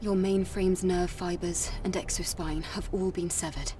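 An actress playing a resolute, vengeful young warrior heroine in a video game, grave and determined, with deliberate phrasing. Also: female voice, lowish voice, steady tone, warm tone, far from the mic, a little hiss or hum, natural voice. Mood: cold, vengeful. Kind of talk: dramatic video game voice acting.

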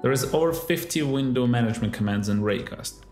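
A man's voice: mid-range voice, fairly quick, darkish tone. Man, co-founder, scripted tip, friendly, echoey room, faint music. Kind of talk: scripted product tip to camera.

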